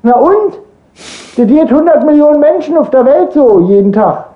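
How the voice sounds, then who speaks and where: A man's voice: extremely high pitch, sing-song, normal speed, narrow, tinny audio. A man hosting improvised casual radio chat, rambling and teasing in a mock-earnest way. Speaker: radio talk host.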